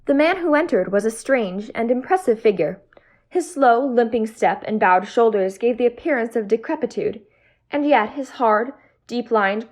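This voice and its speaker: A woman's voice: fairly high pitch, darkish tone. A woman playing a loyal, inquisitive detective's companion and storyteller in an amateur audio drama, earnest and literary. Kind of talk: amateur audio drama acting and scripted narration.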